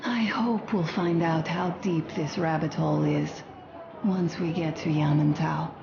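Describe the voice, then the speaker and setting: A woman's voice: sits low, steady tone, unhurried, highs cut off. An actress playing an earnest young woman who confides her doubts intimately and emphatically in a post-apocalyptic video game. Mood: tender, relieved. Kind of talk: video game dramatic monologue. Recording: roomy, clear background ambience noise.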